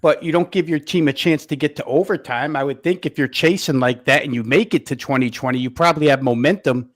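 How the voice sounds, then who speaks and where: A man's voice: fairly high pitch, quick pace. A man on a live sports betting show, questioning coaching choices in a skeptical, casual way.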